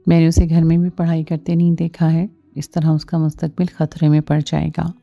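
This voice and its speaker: Very mellow, low-pitched woman narrating a story. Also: completely flat delivery, brisk, gravelly, dry recording, soft background music. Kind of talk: scripted first-person story narration.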